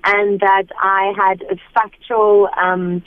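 A woman's voice: medium pitch, flat delivery, no echo. A young South African woman, an actress, chatting casually and laughing easily in an interview over a phone line. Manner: chatty, laughs often, quick asides. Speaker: actress guest.

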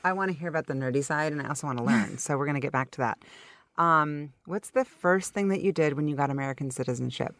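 A woman's voice: low voice, fairly bright tone, dry recording. Upbeat female podcast host. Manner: upbeat, enthusiastic, quick interjections. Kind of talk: podcast interview, rapid-fire questions.

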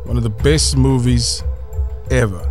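A man's voice: mid-range voice, unhurried, darkish tone, soft background music. A man running a radio quiz, reading out clues and laughing along with the players. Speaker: quizmaster.

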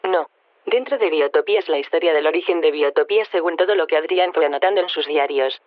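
Actor as a brisk, cold, condescending artificial mind. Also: mid-range voice, no echo, narrow, tinny audio, close to an everyday voice. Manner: detached, precise, condescending. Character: Amaya, cold menacing artificial mind who looks down on humans. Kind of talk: audio drama acting as a radio interview guest.

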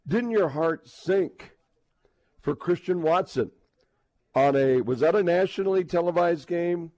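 A man hosting a sports radio show in casual talk, halting and repeating words as he builds toward a point. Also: fairly high pitch, darkish tone, no room sound.

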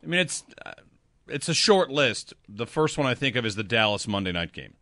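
A man hosts sports radio in a chatty, joking, energetic way. His voice is bright and forceful, and the studio sound is close and dry. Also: medium pitch, fairly quick, dry recording. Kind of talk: casual chat.

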